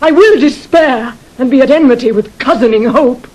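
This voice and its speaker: A husky, sorrowful queen. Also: high-pitched, moderate pace, a little mellow, poor audio. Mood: despairing. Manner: solemn, declamatory verse speaking. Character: grieving, foreboding queen, regal and melancholy. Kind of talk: classical Shakespearean radio acting.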